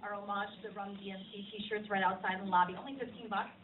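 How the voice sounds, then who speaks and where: A woman's voice: medium pitch, steady tone, quick pace. A woman hosting a question session at a film screening, speaking unscripted to the audience. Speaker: host moderating a screening Q&A. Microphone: distant handheld phone camera. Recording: echoey, distant, phone-like, clearly noisy.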